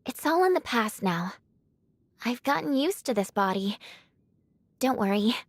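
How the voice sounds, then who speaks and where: A woman voicing a gentle, reassuring young woman with a polite, earnest manner in an English anime game. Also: a little high, animated, unhurried, no room sound. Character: gentle, reassuring young woman returned home after suffering.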